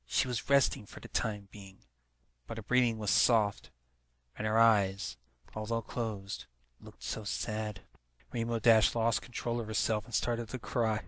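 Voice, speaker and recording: A man's voice: on the low side, level tone, measured pace, fairly bright tone, a little hiss or hum. A man reading a story aloud as narrator, in a dramatic storytelling manner. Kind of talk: scripted story narration, fan fiction read-aloud.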